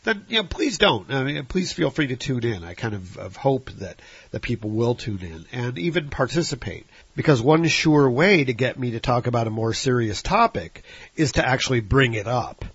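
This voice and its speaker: A Midwestern American man hosts his own podcast with a congested, nasal voice and a slightly husky tone. He talks in a rambling, apologetic way, full of restarts and fillers. The recording is dry and a little dull, like a lo-fi home setup. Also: mid-range voice, lively intonation, normal speed, fairly bright tone, dry recording. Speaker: podcast host. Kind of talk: solo podcast monologue.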